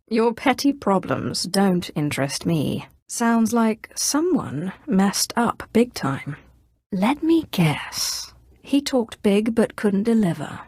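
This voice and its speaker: A woman's voice: mid-range voice, a little mellow, no room sound, studio quality. A woman playing a haughty, menacing Sith sorceress in scripted video game dialogue, sardonic and imperious. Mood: sardonic, dismissive. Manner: imperious, sardonic, crisply commanding.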